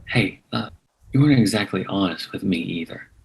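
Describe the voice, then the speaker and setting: A man's voice: sits low, animated, brisk, creaky, low-quality recording. An actor reading an apologetic, defensive separated father at a table read. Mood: pleading.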